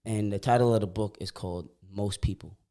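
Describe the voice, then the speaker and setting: A man's voice: lowish voice, a little fast. A young man in his twenties co-hosting a podcast in casual chat. He talks in slang, often starts sentences again, and acts out what people said. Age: 20s. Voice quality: repeats words when starting sentences. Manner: animated storytelling, slangy, often acts out quotes. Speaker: podcast co-host.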